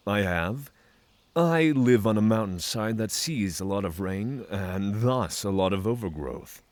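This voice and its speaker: A man's voice: lowish voice, animated, unhurried, no echo. A man playing a polite, formal, reflective druid traveler in a fantasy audio drama. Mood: courteous. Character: courteous male druid traveler from the mountains, reflective guest.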